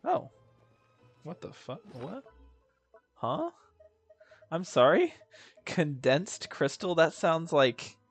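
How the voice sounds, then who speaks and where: A man's voice: on the high side, wildly swooping pitch, takes it slowly, pauses often, slightly rough. A man streaming a video game, chatting casually and reading dialogue aloud with mumbly asides and stumbles. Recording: background game music.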